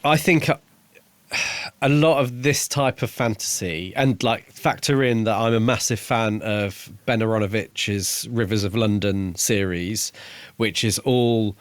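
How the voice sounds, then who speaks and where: Guy with a fairly deep voice chatting books on a podcast, no room echo, explains stuff bit by bit. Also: measured pace. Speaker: podcast co-host reviewing books. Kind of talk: casual book discussion.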